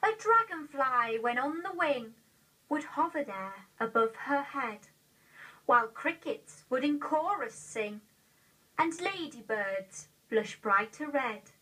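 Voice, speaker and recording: An eighteen-year-old woman with an English accent recites a poem in a measured, carefully enunciated way on an old video recording. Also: fairly high pitch, bright, forceful tone, breathy edge, far from the mic, low-quality recording. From England. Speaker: poetry reader. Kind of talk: poetry recitation. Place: indoor room, seated.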